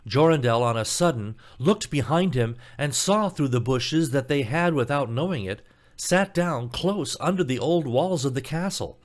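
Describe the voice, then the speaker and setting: Man narrating an expressive fairy tale. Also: mid-range voice, normal speed. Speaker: storybook narrator.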